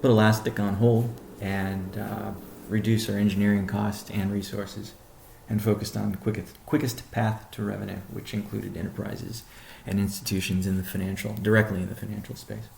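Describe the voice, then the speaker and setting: A man speaking as a company chief executive on a conference call, answering questions in a measured, deliberate, halting way. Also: fairly low pitch, flat delivery, vocal fry, darkish tone, roomy sound, a little hiss or hum.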